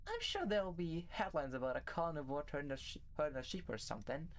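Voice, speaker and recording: A man's voice: on the high side, expressive, a little fast. A man voicing a prim, smug, gossipy animal schoolmate in a visual novel, speaking in a formal, insinuating singsong.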